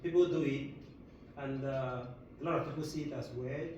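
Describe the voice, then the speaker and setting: A man interviewing a colleague in a relaxed way, often saying "okay" and "yeah" and using lots of fillers. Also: mid-range voice, moderate pace, muffled recording. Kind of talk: casual interview. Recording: echoey room, mic far off, noisy.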